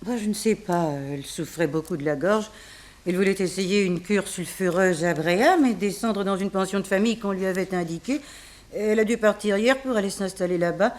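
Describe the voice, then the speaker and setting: A woman's voice: medium pitch, a little fast, darkish tone, close to an everyday voice. A woman playing an indignant, theatrical widow in a French detective radio drama, protesting melodramatically. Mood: defensive. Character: indignant, theatrical wife of a murdered man.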